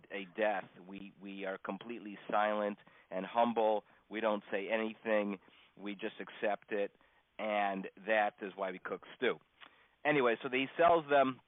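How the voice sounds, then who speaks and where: A man teaching an informal religious class as a rabbi, unscripted, with halting delivery, many restarts and pauses. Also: fairly low pitch, dry recording. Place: teaching over a conference phone call. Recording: dull, dry conference call line.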